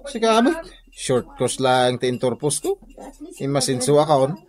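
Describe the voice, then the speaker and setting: A man's voice: mid-range voice, big pitch swings, unhurried, crowd noise. A man playing an easygoing, teasing young graduate son with an Ilocano Filipino accent in a radio comedy drama. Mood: playful. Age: young adult.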